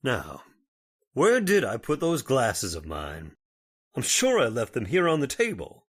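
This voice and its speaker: A man's voice: mid-range voice, huge pitch swings, unhurried, breathy edge, heavily acted. A man playing a blustery judge in old-time radio horror, hearty and theatrical in manner. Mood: genial. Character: genial, unworried judge turning blustery and alarmed.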